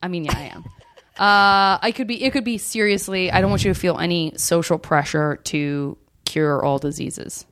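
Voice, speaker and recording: Woman, podcast host, slightly low pitch, playful casual talk, dry close recording. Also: steady tone, normal speed, a bit bright, no room sound. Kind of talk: casual chat.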